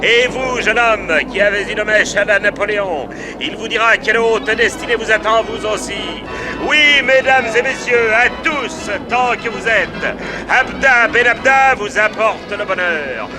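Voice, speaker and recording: An actor playing a bombastic fairground barker in a radio drama, declaiming grandiose sales patter to passers-by. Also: male voice, sits high, a little slow. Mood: grandiose, haranguing. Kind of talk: French radio drama acting. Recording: loud music behind the voice.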